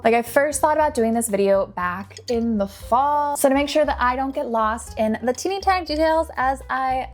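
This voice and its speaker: A young woman casually chatting through a clay tutorial in her small home studio, a little hoarse with some vocal fry, upbeat, often dropping to a whisper. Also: a little high. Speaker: polymer clay artist and small business owner.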